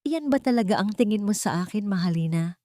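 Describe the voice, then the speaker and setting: A woman voicing a calm, wronged elderly mother in a dramatic story, cold and deliberate. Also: mid-range voice, dry recording, clean studio sound, natural voice. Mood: cold, controlled.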